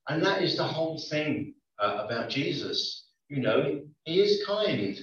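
A man's voice: on the high side, very animated, a little slow, a bit bright. Male preacher, conversational and hesitant, dull lo-fi church recording. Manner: conversational, hesitant with many ums. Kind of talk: sermon. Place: church hall. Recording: echoey lo-fi church recording from far off.

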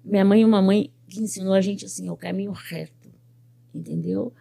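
An older woman from Brazil, a pet groomer and business owner, telling her life story in an interview with lively quoted speech. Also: mid-range voice, fairly quick, creaky. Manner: animated storyteller who quotes other people's words.

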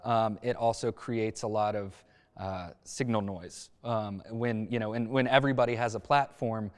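Male panelist, lowish register, halting and thoughtful journalist delivery, recorded with long room reverb. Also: fairly even intonation. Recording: echoey hall-like reverb.

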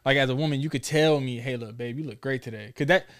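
A man's voice: mid-range voice, quick pace, fairly bright tone. Casual man in his thirties, slangy talk. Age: 30s. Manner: informal, slangy, probing. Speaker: talk show host. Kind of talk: casual conversation.

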